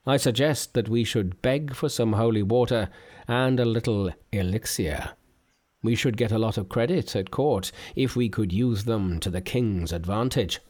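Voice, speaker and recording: A man voicing a scheming male Taoist immortal who lays out his plan in a measured, self-satisfied way. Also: lowish voice, darkish tone, close to an everyday voice.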